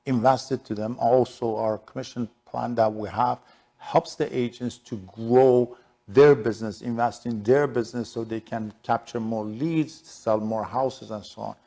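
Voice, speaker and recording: A middle-aged man speaks in a conversational interview register with an Iranian Persian accent. His voice sits at an ordinary pitch and has a noticeably creaky, gravelly grain. He sounds like a business guest answering questions. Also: fairly even intonation, moderate pace, dark tone. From Shiraz, southern Iran. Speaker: interview guest, real estate broker.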